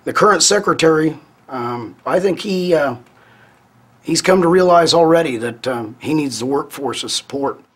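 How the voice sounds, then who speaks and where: A man with a husky, slightly high voice talks in measured, deliberate phrases. He pauses often between thoughts, as in an interview soundbite for a news report. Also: lively intonation, a bit bright.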